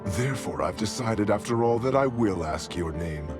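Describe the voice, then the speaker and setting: An actor voicing a sinister puppet-master villain, measured, condescending and mock-courteous. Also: male voice, lowish voice, expressive, over music, close to an everyday voice. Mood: smug, condescending.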